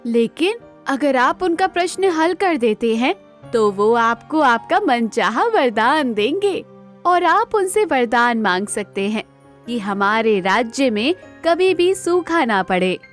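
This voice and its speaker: A woman playing a gentle, devoted queen in a cartoon moral story, speaking tenderly and respectfully. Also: a little high, big pitch swings, takes it slowly, faint music behind. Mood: encouraging. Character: gentle, devoted queen, encouraging yet sorrowful.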